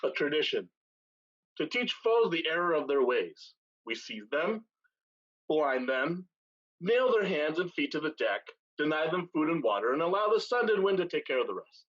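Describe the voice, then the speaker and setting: A man playing a wry, ruthless pirate captain in a staged play reading, measured and authoritative, with dull recorded sound. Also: fairly high pitch, very animated, fairly bright tone, breathy edge, exaggerated voice. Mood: cool menace.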